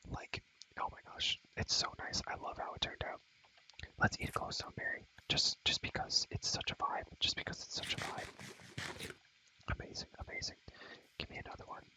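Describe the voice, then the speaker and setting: A man's voice: moderate pace, pushed and bright, low-quality recording. A young man whispering ASMR commentary over a game in a rambling, enthusiastic, slangy way, often repeating his phrases. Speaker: ASMR creator showing a game world.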